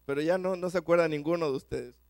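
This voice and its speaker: Chilean male preacher, rapid and restarting, fairly high voice. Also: darkish tone, no echo, studio quality. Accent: Chilean Spanish. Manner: fast-talking, repeats words when rushing. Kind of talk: sermon. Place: church.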